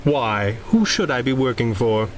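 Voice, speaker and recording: Suave gentleman crimefighter, unflappable, dry wit, wordy, 1930s radio drama, faint music underneath. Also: mid-range voice, very animated, unhurried. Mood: suave, guarded. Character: urbane, unflappable wealthy male masked hero.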